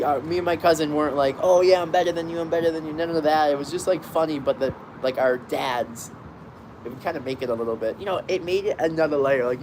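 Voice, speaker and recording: A young man talks casually from an outdoor balcony, rambling and restarting his sentences. His voice sits a bit high for a man. Traffic noise from the street comes through clearly behind him. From Boston area, Massachusetts. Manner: rambling, chatty, many fillers and restarts. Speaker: streamer reading chat. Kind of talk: casual live chat.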